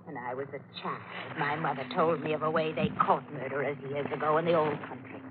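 A woman's voice: sits low, fairly even intonation, lightly acted. An actress playing a stern, superstitious older woman, commanding and ominous, in 1940s radio thriller style. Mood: grimly menacing. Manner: slow, commanding, ominous pronouncements. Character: stern, menacing older housekeeper, superstitious and unyielding. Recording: noisy, tinny old radio recording.

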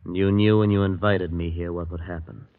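A man's voice: deep voice, fairly even intonation, brisk. An actor playing a wary young man who narrates and lives through his own peril in a suspenseful old radio drama. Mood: suspicious, incredulous. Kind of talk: 1940s radio drama acting, suspenseful first-person narration. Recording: dull vintage radio recording.

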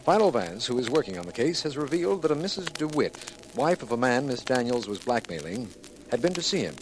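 An earnest official of middle age, a district attorney in an old radio mystery, played by a male actor. His American speech is formal and emphatic with lively swings of pitch, over clear vintage recording noise. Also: fairly quick, natural voice. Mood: formal, grave. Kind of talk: 1940s radio drama acting and case recap.

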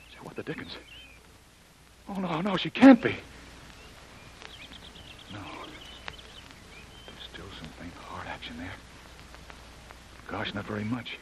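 An actor playing a rugged male jungle adventurer, urgent and protective, in old radio acting. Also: mid-range voice. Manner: urgent, protective, talking to himself. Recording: hissy old radio recording.

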